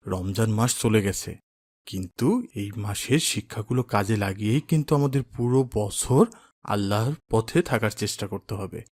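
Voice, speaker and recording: A man as a kindly, low-voiced father. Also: normal speed, a little mellow, dry recording, close to an everyday voice. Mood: gently instructive. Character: kindly, pious father gently teaching his son. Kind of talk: cartoon drama voice acting.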